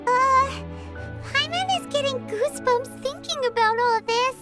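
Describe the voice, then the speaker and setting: A woman voicing a tiny, excitable fairy companion girl who chatters and refers to herself in the third person, in video game voice acting. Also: very high-pitched, unhurried. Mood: excited. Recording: game audio with loud music.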